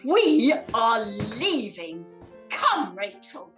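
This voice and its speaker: Woman as a haughty victorian english mother, snobby n grand, highish voice that swoops around, radio play. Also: big pitch swings, extremely slow, fairly bright tone, soft background music, exaggerated voice. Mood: offended, scornful.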